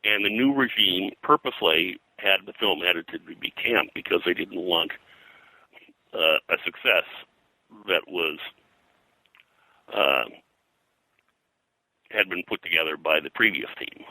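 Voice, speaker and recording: An older man talking as an interview guest, explaining things in detail with a halting, conversational delivery. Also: deep voice, bright, forceful tone, dry recording, poor audio. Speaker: interview guest, pop culture historian. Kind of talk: conversational interview, recollection and commentary.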